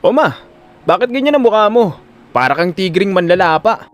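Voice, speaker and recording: A man's voice: high-pitched, very animated, moderate pace, faint music behind. A man with a Filipino accent voicing an anxious, scheming young son in an audio drama. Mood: cheeky.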